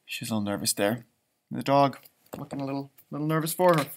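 A man in his thirties reading a children's storybook aloud, expressive, with chatty asides and drawn-out emphasis. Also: medium pitch, a little hiss or hum. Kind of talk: animated read-aloud with casual asides.

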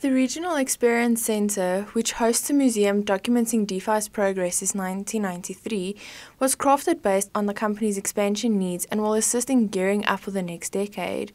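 A woman's voice: medium pitch, moderate pace, darkish tone. A woman reading a scripted news report as a reporter.

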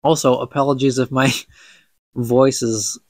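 A man's voice: medium pitch, level tone, a little slow, darkish tone, dry recording, clean studio sound. A young man with a stuffy nose, reading a book aloud for a podcast and chatting about it, stumbling over words and laughing at his slips. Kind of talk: casual book reading with commentary.

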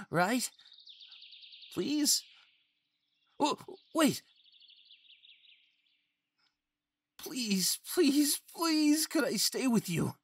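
Man playing a timid, husky, excitable young faun. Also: high-pitched, full character voice. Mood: anxious pleading. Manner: stammering, hesitant, childlike eagerness. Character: timid, eager, naive young male faun, sweetly pleading. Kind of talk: ASMR fantasy roleplay, acted monologue.

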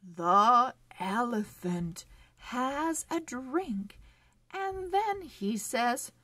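A woman hosting a children's read-along phonics lesson, speaking in a sing-song, encouraging way with deliberate, exaggerated enunciation. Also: fairly high pitch, animated, pushed and bright, no room sound.

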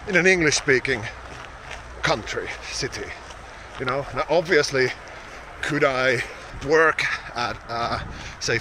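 A man in his thirties with a Finnish accent, talking casually to camera while out on a run on a snowy roadside, thinking aloud with many fillers and restarts. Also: medium pitch. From Finland. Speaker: vlogger. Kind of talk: casual vlog while out running. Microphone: small wired mic, camera held close. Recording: outdoor wind and traffic noise.

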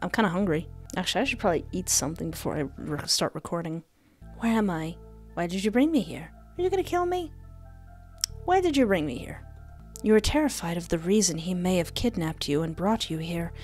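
Young woman doing a lets play, jokey and animated, reads the text out, faint music behind. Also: lowish voice, brisk. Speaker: gaming video host. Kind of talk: playful let's play commentary mixed with reading game text aloud. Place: indoor home recording setup. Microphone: close desktop microphone with headset. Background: game music.

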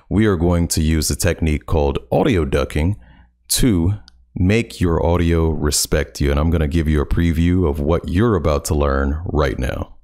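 A man in his thirties hosting a casual, upbeat streaming tutorial, joking as he explains, recorded at home on a studio mic on a boom arm. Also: darkish tone. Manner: upbeat, playful, joking asides. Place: home streaming room, indoors. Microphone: large studio condenser on boom arm.

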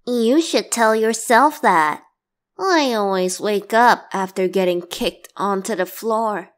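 A woman's voice: medium pitch, takes it slowly, lightly acted. A woman voicing a playful, clingy boyish god and bard in a boyfriend ASMR roleplay, teasing and theatrical.

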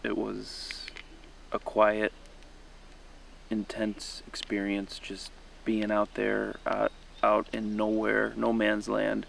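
A man's voice: lowish voice, completely flat delivery, takes it slowly, vocal fry, far from the mic, a little hiss or hum. A man in his thirties, a first-time canoe camper, talking earnestly and a little hesitantly to the camera outdoors in a pine forest. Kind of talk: casual outdoor video diary.

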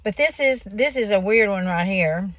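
A woman's voice: medium pitch, lively intonation, normal speed, a bit bright, no echo. A woman, chatting casually in a folksy, rambling way as she shows things on a craft vlog. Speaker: craft vlogger. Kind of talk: casual chat, show-and-tell. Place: inside a parked car on a rainy day. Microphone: phone at arm's length. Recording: noisy car phone audio, crinkling packaging. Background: clear noise, crinkling and clicks.

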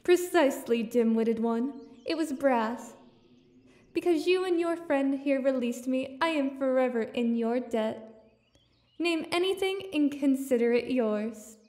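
Woman playing a high-voiced, echoing, regal genie. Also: measured pace, a little mellow. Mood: formal, imperious. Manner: formal, grandiose, condescending. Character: regal, centuries-old female genie, haughty and cordial. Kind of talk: audio theater acting. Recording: roomy, echoing voice.

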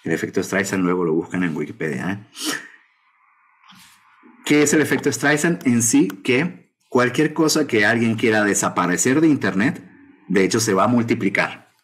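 A man's voice: fairly low pitch, lively intonation, normal speed, fairly bright tone, breathy edge. A middle-aged man gives a conversational conference talk over slides, often adding rhetorical tag questions. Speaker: lecturer on academic publishing. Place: indoor room at a desk. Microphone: video call webcam mic.

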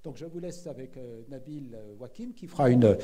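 A middle-aged man hosting a public talk, introducing the speaker in a halting, hesitant way. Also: mid-range voice, expressive, dark tone. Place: standing on stage in an auditorium. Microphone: handheld microphone.

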